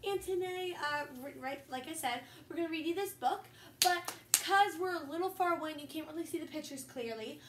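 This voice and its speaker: A woman's voice: sits high, fairly quick. A young girl chatting to viewers and reading a storybook aloud. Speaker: host and storybook reader. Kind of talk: casual vlog and read-aloud storytelling. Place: echoey room, some distance from mic. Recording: roomy echo, faint background noise.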